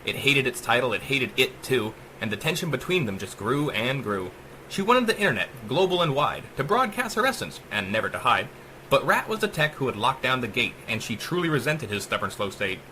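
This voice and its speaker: A man narrating a dark rhyming story as a dramatic audiobook, with theatrical, emphatic rhythm. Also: mid-range voice, sing-song, brisk, a little hiss or hum, poor audio.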